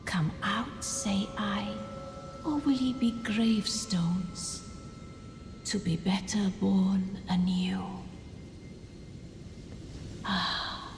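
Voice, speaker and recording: Regal eerie mother sorceress, theatrical archaic speech, very drawn-out delivery, loud music behind, game cutscene. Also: mid-range voice, steady tone. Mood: coaxing, menacing. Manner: slow, theatrical, archaic diction. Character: regal sorceress queen and mother, eerily coaxing, veiled menace. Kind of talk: archaic fantasy game acting. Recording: long echoing hall reverb, loud music behind.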